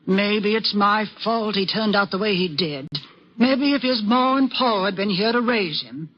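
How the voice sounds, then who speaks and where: A woman's voice: medium pitch, lively intonation, measured pace, husky. An actress playing a stern, anguished older frontier woman with a rural American accent, grave and plain-spoken, in an old-time western radio drama. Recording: dull vintage radio recording.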